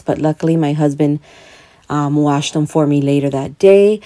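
A woman's voice: deep voice, mellow. A young woman narrating a home vlog in a casual, chatty, confiding way, as if talking to friends. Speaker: home and cleaning vlogger.

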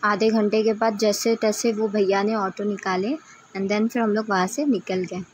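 A woman's voice: mid-range voice, steady tone, normal speed, slight background noise. A woman narrating a casual travel vlog in a chatty way, speaking directly to her viewers. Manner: chatty, addresses viewers as friends. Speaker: travel vlogger.

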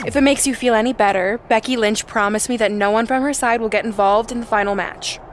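A woman's voice: fairly high pitch, fairly even intonation, quick pace, a little hiss or hum, lightly acted. An actress playing a smug, sarcastic, tough woman rival in a wrestling video game. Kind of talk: scripted video game cutscene acting.

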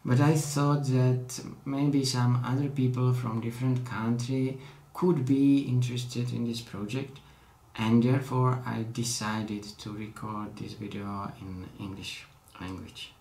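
Slow, careful man with a Czech accent. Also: mid-range voice, fairly even intonation, darkish tone, roomy sound, poor audio. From Czech Republic. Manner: careful non-native English, plain and earnest. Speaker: hobbyist vlogger. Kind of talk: casual tech demo. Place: small room at home, indoors at a desk.

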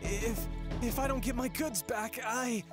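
An actor voicing a panicked, pleading traveling merchant who stammers, in a fantasy audio drama. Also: male voice, very high voice, unhurried. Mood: anxious pleading. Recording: music behind the voice.

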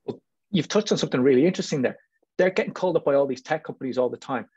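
Fast-talking Irish man, slightly husky. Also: medium pitch, lively intonation, darkish tone. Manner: talkative, hedging with frequent "you know what I mean". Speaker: panelist. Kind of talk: informal panel discussion. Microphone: video call. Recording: muffled, roomy video call audio.

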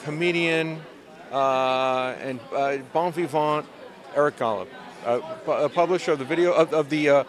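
A man interviewing an author at a convention, asking his questions in a halting way with many fillers. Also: on the high side. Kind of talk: on-the-spot interview. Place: busy convention hall, indoors.

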